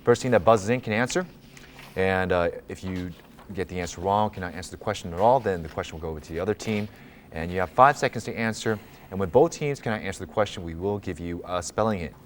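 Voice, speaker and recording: Low-voiced man reading quiz questions. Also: a little hiss or hum. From Hong Kong. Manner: measured, clear reading with occasional stumbles. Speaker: quiz question reader and co-presenter. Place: TV studio.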